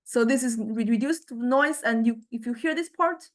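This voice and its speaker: A woman giving a step-by-step software tutorial as a lecturer, hesitant and halting, often checking in with listeners. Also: a little high, a little hiss or hum. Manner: hesitant, halting delivery with repeated check-ins.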